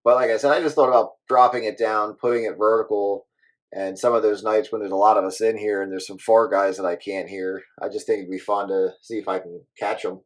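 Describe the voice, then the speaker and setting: A man's voice: lowish voice, steady tone, brisk, rough recording. Easygoing man in casual radio chat. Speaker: amateur CB radio operator.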